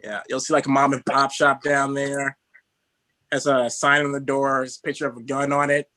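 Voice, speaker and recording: A young man from Texas doing a stand-up set, halting and filled with "uh" and "um". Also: medium pitch, level tone, pushed and bright, dry recording. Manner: halting delivery with many fillers. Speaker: stand-up comedian.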